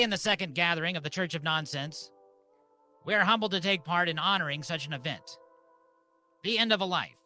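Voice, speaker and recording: A man hosting a comedy ceremony like a mock-solemn preacher, deadpan, speaking in deliberate short phrases. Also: high voice. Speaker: host and mock officiant of a comedy live show.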